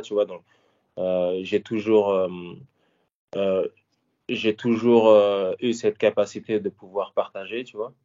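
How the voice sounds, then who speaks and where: Casting: very low-voiced man in his 30s from Paris, ex-fighter turned coach, halting and casual, dull lo-fi sound. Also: dark tone. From France, Paris. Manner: hesitant, many fillers and restarts. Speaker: former MMA fighter and coach. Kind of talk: casual interview answers. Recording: dull lo-fi audio.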